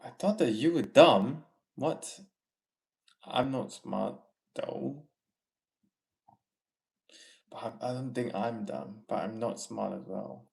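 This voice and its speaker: A young man from Malaysia hosting a casual livestream, reading viewer comments and giving earnest advice in a slow, halting way. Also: medium pitch, gravelly, a little mellow. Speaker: livestream host.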